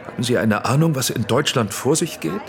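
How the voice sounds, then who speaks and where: Wary, volatile film director, animated delivery. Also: male voice, medium pitch, brisk, creaky, a crowd in the background. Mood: wary, indignant. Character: celebrated male film director, wary, easily outraged, vulnerable. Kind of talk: German radio drama acting.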